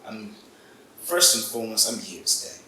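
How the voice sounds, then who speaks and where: A young man with a Malawian accent talks in a relaxed, easygoing way, often repeating himself as he finds his words. His voice is somewhat low and bright, with a forceful edge. The room is echoey, and faint ambient noise sits behind him. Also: normal speed. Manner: relaxed, laughing, repeats words when starting. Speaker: musician guest. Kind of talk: casual interview chat.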